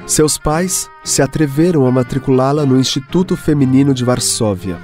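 A man with a Brazilian accent giving measured, scripted narration for a radio drama. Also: medium pitch, darkish tone. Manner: measured storytelling. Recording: music clearly behind voice.

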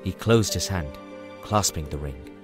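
Lowish-voiced male fantasy story narrator. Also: a little slow, over music.

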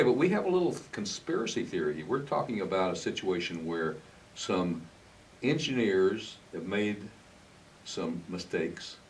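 A low-voiced older man hosts a television interview, informal and feeling for his words as he asks. He is slightly off the microphone, with a faint hiss behind him. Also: distant mic, poor audio. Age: older, 60s-70s. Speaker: interviewer, host. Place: indoor TV studio, green screen.

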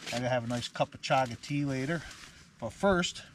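Outdoor vlogger, thirties, male, easygoing rambling delivery, mid pitch, faint outdoor hiss behind. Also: brisk. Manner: relaxed, rambling with fillers and restarts. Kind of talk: casual camp vlog. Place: inside a canvas hot tent in autumn woods.

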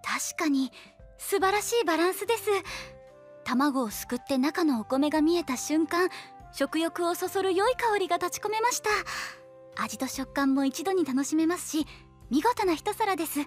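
An actress playing a composed, frank young princess in an anime audio drama, formal and primly polite in speech. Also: female voice, very high-pitched, lively intonation, normal speed, faint music behind. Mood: impressed.

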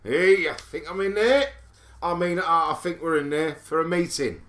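Man acting a cheeky, sharp-voiced Cockney salesman. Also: a little high, moderate pace, lightly acted. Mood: cheeky, upbeat. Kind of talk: audio drama acting.

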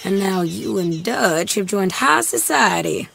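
A woman's voice: medium pitch, animated, unhurried, gravelly, a bit bright, a little hiss or hum, full character voice. An actress playing a tough, restless American outlaw woman in a video game, frank and drawling. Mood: wry, eager. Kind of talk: cinematic video game acting.

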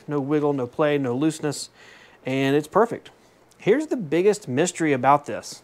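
A man in his thirties, chatty and enthusiastic, showing off tools in a small home woodworking shop, often correcting himself with jokey asides. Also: a little high. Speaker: woodworking vlogger. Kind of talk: casual show-and-tell vlog. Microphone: fixed camera at desk distance.

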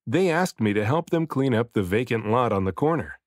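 A man narrates a picture book for young children with expressive emphasis. His medium-pitched voice rises and falls in a lively, animated way. The sound is dry and close, with very little room. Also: brisk, dry recording. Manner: expressive, varies delivery for emphasis. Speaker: children's book reader, dad and voice actor. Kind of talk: read-aloud storybook narration.

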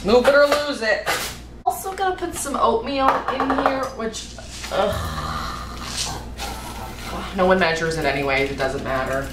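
A young woman goofing around while she cooks in her home kitchen, talking to the camera in a silly, self-mocking way with loud outbursts. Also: medium pitch, measured pace. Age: young adult. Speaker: vlogger. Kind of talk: goofy cooking vlog. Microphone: camera across the kitchen. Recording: echoey kitchen, audible noise.

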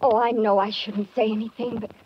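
A muffled 1940s radio recording carries a scheming, jealous woman played by an actress. Her voice sits fairly high, and she speaks in a genteel, measured, hesitant way. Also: flat delivery, a little hiss or hum, close to an everyday voice. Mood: feigned distress.

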